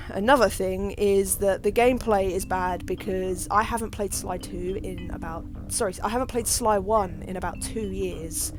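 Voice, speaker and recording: A young woman chats her way through a game walkthrough, rambling and self-deprecating as she plays. Her pitch sits in an everyday middle range for a woman. Clear background music plays under her voice. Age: young adult. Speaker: gaming video host. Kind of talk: casual gameplay tutorial commentary.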